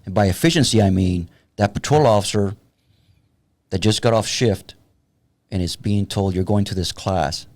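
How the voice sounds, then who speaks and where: A man's voice: fairly low pitch, moderate pace, a little mellow. A man in his fifties from San Antonio, a guest and tactical trainer talking in a wry, plain-spoken way on a podcast. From San Antonio, Texas. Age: 50s. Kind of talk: casual podcast conversation.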